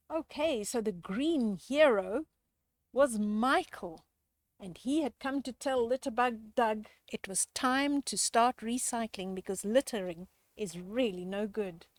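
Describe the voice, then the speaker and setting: A woman reads a bedtime picture book to children in a fairly high voice. She goes very slowly, leaning on words expressively and chatting to the listener, and the sound is close and dry. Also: dry recording. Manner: expressive, drawn-out emphasis, chatty questions to the listener. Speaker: children's storyteller.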